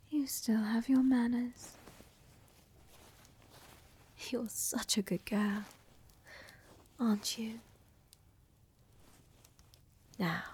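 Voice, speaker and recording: A woman playing a seductive, tender vampire countess in a soft, intimate roleplay ASMR style, often dropping to a whisper, with a teasing, assured manner and formal wording. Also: on the high side, husky, exaggerated voice. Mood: flirty, indulgent. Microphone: close microphone, dry.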